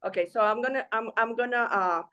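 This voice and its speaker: A woman's voice: on the high side, quick pace, bright, forceful tone, dull audio. A woman from Ecuador calling in with a question, speaking hesitantly with many fillers. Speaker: caller.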